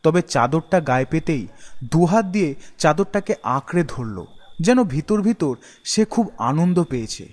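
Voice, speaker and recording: A man's voice: on the high side, darkish tone, in a large hall. A man narrating a scripted ghost story for an animation.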